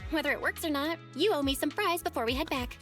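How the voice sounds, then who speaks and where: A woman playing a chatty, teasing young sister in a video game, playful and lively in conversation. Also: high voice, fast talker, faint music behind. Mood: perky, teasing.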